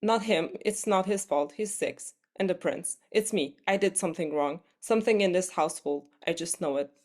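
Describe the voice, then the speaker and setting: A woman plays an anxious mother in a stage play reading. She is fretful and quick to blame herself. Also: on the low side, darkish tone, lightly acted. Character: anxious, guilt-ridden mother of a six-year-old prince.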